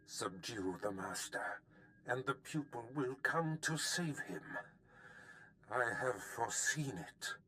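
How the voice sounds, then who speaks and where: Older sith master villain for a game, medium pitch, scheming n haughty, very theatrical. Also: male voice, animated, slow pace, bright, forceful tone, slight background noise. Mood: scheming, imperious. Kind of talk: video game voice acting.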